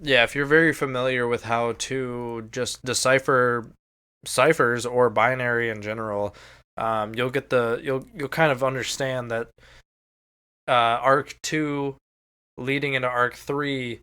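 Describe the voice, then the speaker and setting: American male podcast co-host, smooth clean voice, casual storyteller who swears freely. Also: mid-range voice, steady tone, unhurried, sharp tone. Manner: casual, profane.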